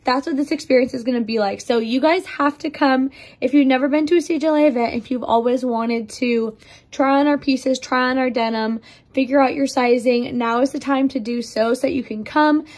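A young woman with a slightly raspy voice from losing it, chatting bubbly to viewers in a try-on vlog, filmed on a phone in a mirror in a bright living room. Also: sits high, fairly quick. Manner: bubbly and chatty, addressing viewers as you guys. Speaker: clothing brand try-on host. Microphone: phone held up for a mirror selfie.

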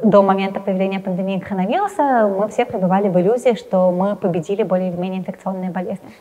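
A young adult woman speaking quickly at a middle pitch, seated in an indoor studio for an interview. She fills her pauses with hesitant sounds. Her voice carries a long reverb. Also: warm tone. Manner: hesitant fillers, fast and talkative. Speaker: interviewee, science journalist. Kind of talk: interview answers to camera. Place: indoor studio with plain wall, seated in armchair.

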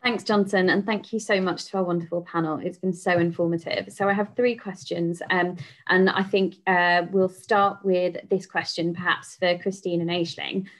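A woman with a slightly low voice co-hosts an online panel over a video call. She speaks politely as she reads out questions from the audience. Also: steady tone, normal speed, hardly any pauses. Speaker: co-host reading audience questions.

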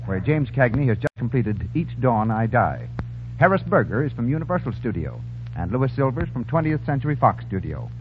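A man reading scripted announcements as a 1930s radio announcer. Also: medium pitch. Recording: muffled, hissy 1930s radio broadcast.

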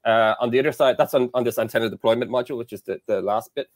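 A man's voice: mid-range voice, very fast, no room sound, clean studio sound. A young Irish man explaining engineering work as a guest on a video call, hesitant with many fillers. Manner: hesitant, lots of fillers and restarts. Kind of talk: casual interview chat. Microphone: headset on video call.

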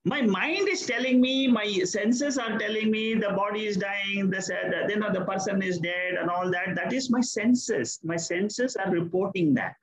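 A man's voice: sits high, moderate pace, few pauses, a bit bright, reverberant, low-quality recording. An older man, a Vedanta teacher, patiently explaining answers to a student in an online class. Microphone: video call.

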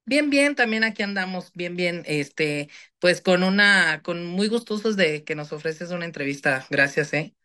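A young man interviews a singer over a phone video call. He asks friendly, rambling questions in a bright, forward voice. The phone is held close to his face. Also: high-pitched, expressive, measured pace. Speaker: newspaper interviewer.

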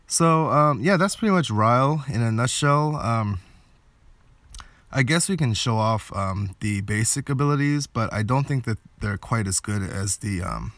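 A man's voice: mid-range voice, lively intonation, measured pace, a bit bright, no echo. A man giving casual gameplay commentary, thinking aloud a little hesitantly as he plays. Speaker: game video creator.

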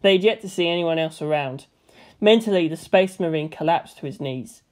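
A woman narrates a scripted story in audiobook style. Her voice is a little low for a woman. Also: normal speed, darkish tone.

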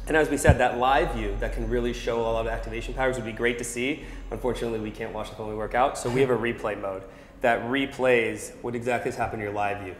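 A man in his thirties demonstrating a fitness app, explaining clearly like a coach, in a large indoor gym. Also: mid-range voice, slight background noise. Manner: fluent, instructive, coach-like. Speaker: startup product specialist. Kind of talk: product demo explanation. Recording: echoey gym room.

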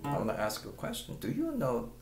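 A man's voice: medium pitch, wildly swooping pitch, fast, roomy sound. A middle-aged man whose first language is Chinese, talking casually to his webcam at home as a guitar teacher. He rambles, repeats words and asks the viewer pointed questions. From China. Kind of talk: casual talk to camera. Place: small room at home. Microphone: webcam at close range.

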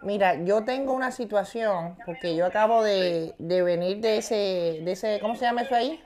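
A man's voice: sits high, normal speed, crowd noise, close to an everyday voice. Man putting on a brazen, drawling mother. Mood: complaining. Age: young adult.

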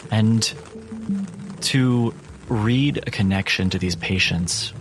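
Slow, low-voiced man narrating a game. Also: over music. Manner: measured, deliberate storytelling with dramatic pauses. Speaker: game master. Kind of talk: narrating a tabletop roleplaying game.

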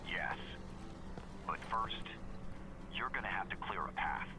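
A man playing a calm, stern military mentor in a video game, measured and terse. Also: fairly low pitch, noisy background. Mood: calm, instructive. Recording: game audio buried under loud music.

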